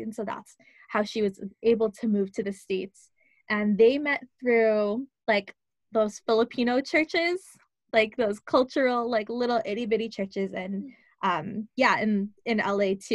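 A woman's voice: medium pitch, measured pace, no room sound. Chatty young woman, dry sound. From Los Angeles. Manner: chatty, many fillers. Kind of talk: casual conversation.

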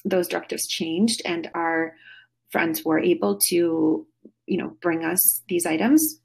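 A woman's voice: on the low side, fairly even intonation, takes it slowly, gravelly, slightly rough. A middle-aged woman from Russia, a podcast guest, talking in a chatty, rambling way with many fillers and restarts over a call. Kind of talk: casual remote interview. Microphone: phone or video call.

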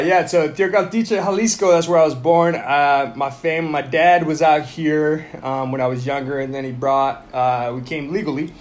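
A man in his thirties from Mexico, co-hosting a podcast in a loud, jokey, casual chat and laughing often. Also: a little high. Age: 30s. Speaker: podcast co-host.

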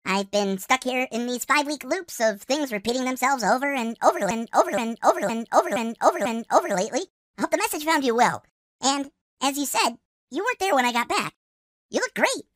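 A woman's voice: high-pitched, lively intonation, a little fast, no echo, studio quality. A woman playing an anxious, exasperated, chatty droid adventurer in a sci-fi comedy radio drama, fretful and dramatic. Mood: nervous, hopeful.

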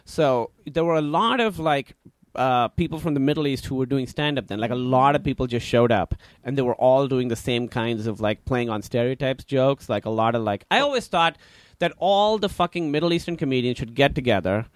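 A man's voice: mid-range voice, a little fast, no room sound. A man in his thirties with a Pakistani accent, a comedian chatting as a podcast guest, rambling with many fillers and restarts. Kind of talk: casual interview chat.

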